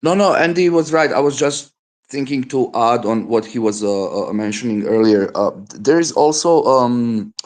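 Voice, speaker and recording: A man whose first language is Bosnian, joining a casual talk show conversation and speaking haltingly with many fillers and repeated words. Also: medium pitch, animated, darkish tone.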